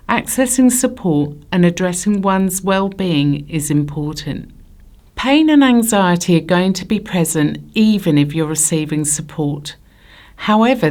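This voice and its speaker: A woman reading scripted informational narration in a measured, formal manner. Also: fairly low pitch, darkish tone.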